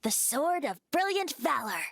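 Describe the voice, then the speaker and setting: A woman's voice: sits high, very animated, a little slow, gravelly, no room sound, heavily acted. Woman playing a playful young bard spirit. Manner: lilting, playful storyteller. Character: youthful male wind-spirit bard, playful and wise. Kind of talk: game voice acting.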